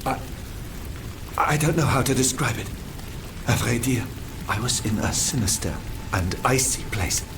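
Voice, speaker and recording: A man playing a troubled, earnest French gentleman scientist in a video game, formal and theatrical. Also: mid-range voice, expressive, measured pace, pauses often, noisy background. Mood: haunted, confused. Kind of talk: dramatic video game cutscene acting.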